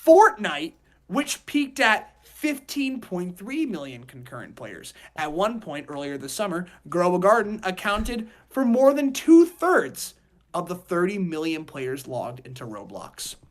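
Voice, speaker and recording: Male podcast co-host, casual and slangy, animated delivery with shifting volume. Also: high voice, unhurried. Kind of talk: casual group podcast chat.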